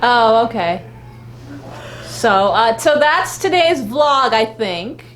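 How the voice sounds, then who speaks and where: A woman's voice: a little high, expressive, slow pace, fairly bright tone. A woman co-hosting a casual live fan vlog, talking in a rambling, repetitive, slangy way. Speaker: vlog co-host. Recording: noisy live-stream audio with hum.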